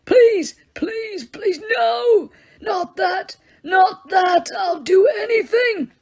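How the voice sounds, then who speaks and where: A woman voicing an old male wizard headmaster who pleads, sobs and screams in anguish, in a high strained voice far from her own. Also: very slow, a little mellow, raised voice, exaggerated voice. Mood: anguished pleading.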